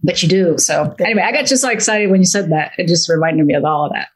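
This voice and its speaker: A woman in her fifties from Italy, a wealth advisor chatting as a guest in an interview, eager and quick, often repeating words as she gets going. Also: on the low side, husky. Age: 50s. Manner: enthusiastic, quick, repeats words when excited. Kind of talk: casual interview chat.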